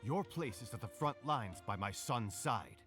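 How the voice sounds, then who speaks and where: A man's voice: mid-range voice, lively intonation, measured pace, a bit bright, faint music behind. An actor playing a stern, haughty, war-hungry king in a video game's stage play, speaking with command. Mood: stern, displeased. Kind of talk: theatrical stage-play acting in a video game.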